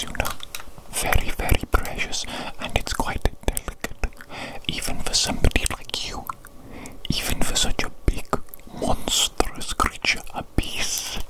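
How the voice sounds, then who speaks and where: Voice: natural voice, poor audio. A performer voicing a doting evil mad doctor who scorns humans, in a repetitive, fussing whisper for an ASMR medical roleplay.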